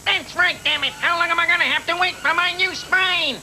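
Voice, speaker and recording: An irate cyborg patient in an anime dub, full of impatience. The voice is very high and extremely sharp, with faint music behind it on an old tape. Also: female voice, brisk, exaggerated voice. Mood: angry, impatient. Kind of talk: anime English dub acting.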